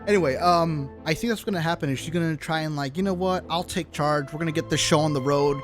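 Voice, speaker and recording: A man with a slightly high voice comments on a game for his stream. His talk is informal and reactive, addressed casually to chat, with faint music behind. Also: quick pace. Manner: informal, loose, reactive asides. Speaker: livestreamer.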